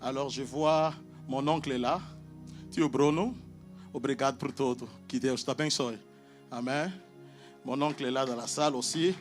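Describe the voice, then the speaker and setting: A man's voice: mid-range voice, fairly even intonation, normal speed, long pauses. Guy preaching in a church hall, lots of amens, calls out to the crowd, faint music behind. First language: Portuguese. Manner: emphatic call-and-response with frequent amens. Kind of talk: sermon.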